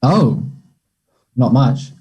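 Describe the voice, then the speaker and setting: A man acts out a shy, awkward young man on a date in a stage play read-through. His voice is breathy-rough and relaxed, sitting at an average male pitch. His replies are brief and hesitant. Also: drawn-out words, full character voice, poor audio. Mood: hesitant, flustered. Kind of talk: play table read, naturalistic dialogue.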